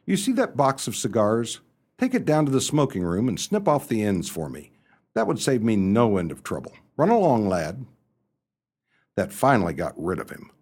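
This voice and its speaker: Radio comedy lead: male actor as breezy young upper-class gent, easily rattled, swooping pitch, slightly husky. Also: very animated, normal speed, darkish tone. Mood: uneasy. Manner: breezy, slangy, exclamatory. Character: excitable, rattled young upper-class English gentleman narrator. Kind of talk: first-person radio comedy narration and dialogue.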